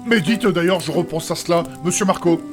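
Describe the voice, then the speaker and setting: A man's voice: sits high, brisk, close to an everyday voice. A man playing a prim, earnest travelling companion in a comic fantasy audio drama, formal, polite and reproachful. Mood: scandalised. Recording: music behind the voice.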